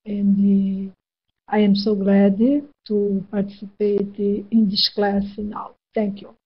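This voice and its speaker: Female English student from Brazil, slow careful speech. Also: medium pitch, monotone, husky, muffled recording. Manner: careful with filler pauses. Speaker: student in an online English class.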